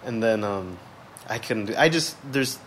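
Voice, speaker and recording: A man's voice: on the low side, lively intonation, normal speed, a bit bright. A man in his thirties chatting casually as an interview guest, slangy and rambling, with lots of "like.". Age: 30s. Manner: rambling, heavy use of "like", slangy. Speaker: musician, podcast guest. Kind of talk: casual chat. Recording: noticeable background noise.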